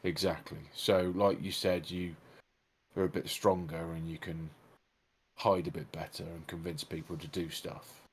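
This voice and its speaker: A man's voice: deep voice, flat delivery, a little slow, a little hiss or hum. A man playing a tabletop role-playing game, explaining things in character in colloquial, matter-of-fact terms. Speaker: tabletop role-play player. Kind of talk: improvised in-character tabletop role-play chat.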